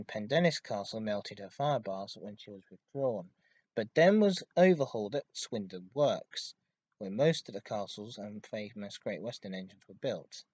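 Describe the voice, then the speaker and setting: A man presents an informative enthusiast podcast by himself. His voice sits at a medium pitch for a man. He speaks in a halting way, putting stress on his intensifiers as he explains the history. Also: no room sound. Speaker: podcast host. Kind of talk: informative enthusiast monologue about railway history.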